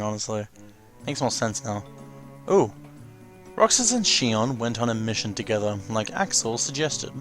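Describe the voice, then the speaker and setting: A man giving casual, tentative commentary as he reacts to video game cutscenes, thinking aloud. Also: medium pitch. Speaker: let's play host. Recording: faint game music behind.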